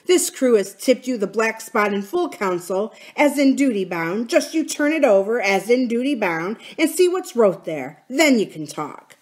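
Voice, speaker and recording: A woman plays a lanky, mutinous pirate crewman from a storybook. He is blunt and accusing in rough sailor talk, with a fairly high, very animated pitch. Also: normal speed, few pauses. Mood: challenging. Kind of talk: dramatic storybook character reading.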